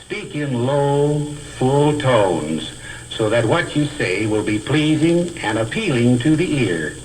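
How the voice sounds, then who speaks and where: Male instructor for a vintage teaching film: didactic, deliberate, lively pitch swings, light hiss on the track. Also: low-quality recording. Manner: deliberate, carefully enunciated, didactic. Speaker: speech teacher. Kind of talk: scripted instructional film lecture. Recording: hissy old educational film soundtrack.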